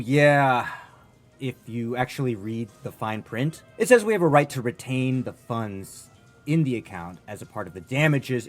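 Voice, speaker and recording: An actor playing a smarmy, officious and excitable male bank employee in a comedy podcast, fussy and sing-song. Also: mid-range voice, natural voice. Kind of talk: comedic podcast drama acting.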